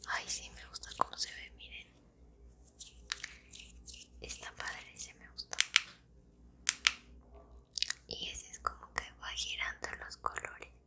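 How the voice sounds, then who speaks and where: Whispering young Mexican woman, slow ASMR. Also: extremely low pitch, completely flat delivery. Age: 20s. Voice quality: breathy unvoiced whisper. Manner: soft-spoken, intimate, unhurried. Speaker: ASMR video creator. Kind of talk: whispered ASMR, casual chat. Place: small indoor room at home. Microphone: close microphone.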